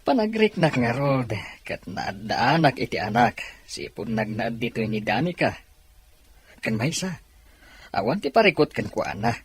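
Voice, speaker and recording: Kindly, thoughtful man: heavily raspy, mid-pitched with lively inflection, radio drama. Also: measured pace, full character voice. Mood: earnest. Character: kindly, thoughtful unmarried man planning an adoption. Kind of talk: Filipino radio drama acting.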